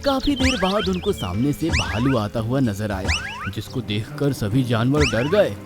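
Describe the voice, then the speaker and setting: A man of medium pitch narrates a children's tale. His delivery is expressive, rising and falling a great deal, and music plays clearly behind him. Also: unhurried. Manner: expressive, animated. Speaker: storyteller, narrator. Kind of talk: scripted children's story narration. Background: background music.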